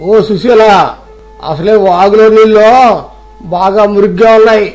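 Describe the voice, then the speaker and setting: Guy doing a grumbly village fella, really high voice, kinda flat delivery. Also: moderate pace, soft background music, lightly acted, low-quality recording. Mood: cautioning. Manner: complaining, colloquial rustic speech. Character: grumbling rustic village man, weary of hardship. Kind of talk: Telugu moral story audio drama.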